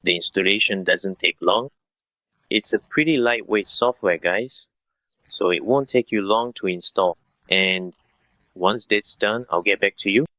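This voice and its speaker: Bright, forceful low-voiced man giving a tutorial. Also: a little slow, no room sound, dull audio. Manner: instructional, addresses viewers as guys. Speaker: software tutorial presenter. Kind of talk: step-by-step tutorial narration.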